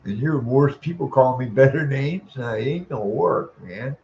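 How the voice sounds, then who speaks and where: A man delivering a profane, heated rant to the camera, tagging his sentences with "man" and stalling on long "uh" sounds. His voice sits at an ordinary middle pitch. The recording is a little dull and lo-fi, with a faint hum of the room behind him. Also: darkish tone. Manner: tags sentences with "man", chuckles, stalls on drawn-out "uh". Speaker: vlogger. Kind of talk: profane ranting monologue.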